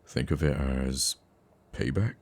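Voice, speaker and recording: A man playing a gruff, teasing supervillain with a secret soft side in an intimate ASMR roleplay, dry and grumbling but affectionate. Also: slow pace, heavily acted. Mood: gruff, caring. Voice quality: very deep, gravelly.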